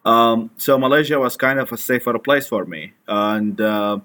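A man, a guest in an interview, talking in a halting way with many restarts. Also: mid-range voice, bright, forceful tone. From Iraq. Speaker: guest, activist. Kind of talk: conversational interview.

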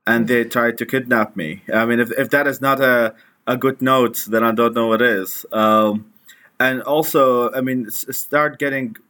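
A man, a guest in an interview, talking in a halting way with many restarts. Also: mid-range voice, bright, forceful tone. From Iraq. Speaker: guest, activist. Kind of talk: conversational interview.

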